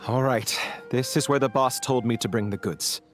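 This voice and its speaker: A man's voice: medium pitch, moderate pace, lightly acted. A man voicing a nervous male go-between turned double agent in a video game, who explains at length in a hesitant, slightly uneasy way. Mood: explaining. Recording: game audio with faint music underneath.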